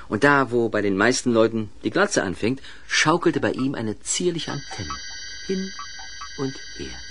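A man narrating a children's audio play as a dramatic, deliberate storyteller with suspenseful pauses. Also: medium pitch, slight background noise.

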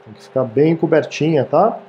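Mellow-voiced man hosting a cooking show. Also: on the high side, moderate pace, highs cut off, slight background noise. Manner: friendly, chatty, keeps asking "tá, gente?". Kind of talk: casual cooking demonstration. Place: small home-style studio kitchen, indoors.

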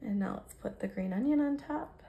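An American woman in her thirties with a creaky voice, chattily narrating her cooking step by step in a casual, informal way. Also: fairly high pitch, expressive, moderate pace, mellow, lo-fi sound. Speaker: home cook vlogger. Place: home kitchen, indoors.

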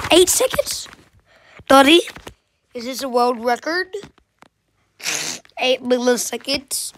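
A young woman vlogging with a stuffy cold, sniffling, talking in unhurried bursts that jump into excited gasps and squeals. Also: a little high, a little hiss or hum. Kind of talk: casual screen-recording vlog.

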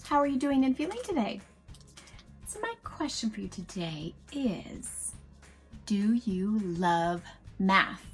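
A woman in her thirties giving an expressive picture-book read-aloud, animatedly addressing children with questions and asides. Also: medium pitch, takes it slowly, faint music behind.

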